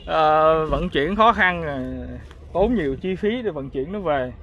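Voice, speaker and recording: A man's voice: on the high side, lively intonation, unhurried, a bit bright, muffled recording. A man in his thirties chatting casually to the camera in a sunny field, tired and hot from chopping wood, joking and laughing as he talks. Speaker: camping vlogger. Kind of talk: casual outdoor vlog chat. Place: overgrown field outdoors, sunny. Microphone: action camera at arm's length. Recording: outdoor camera audio with clear background noise.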